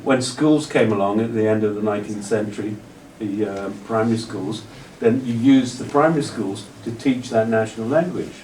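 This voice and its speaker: A man's voice: fairly low pitch, normal speed, hardly any pauses, darkish tone, distant mic, slight background noise. A male lecturer answering an audience question at length, rambling with frequent restarts. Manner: discursive, many restarts and "you know". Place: lecture hall. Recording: roomy hall audio from afar.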